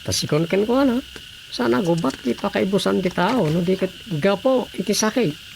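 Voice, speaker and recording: Gravelly, emphatic Ilocano man. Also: high voice, expressive, normal speed. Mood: earnest explaining. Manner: explanatory, emphatic. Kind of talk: radio drama acting. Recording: faint noise, insect ambience.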